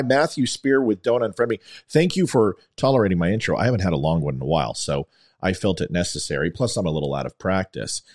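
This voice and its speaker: A man's voice: deep voice, darkish tone. A man with a slightly scratchy, worn voice hosts an opinion show, delivering commentary in a theatrical, emphatic way. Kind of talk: opinion commentary monologue to an audience.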